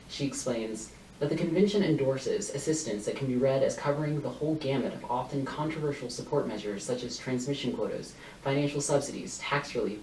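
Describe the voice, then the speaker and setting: A man's voice: medium pitch, darkish tone. A young adult man delivering a formal, argumentative competitive debate speech, reading prepared points and quoted evidence. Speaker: university debater. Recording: roomy, distant mic, faint noise.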